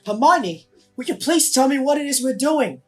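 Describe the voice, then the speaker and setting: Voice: sits high, lively intonation, moderate pace, soft background music. An actor playing a confused, impatient thirteen-year-old boy wizard, exclaiming and questioning in an audio play. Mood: bewildered. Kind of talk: dramatic audio play acting.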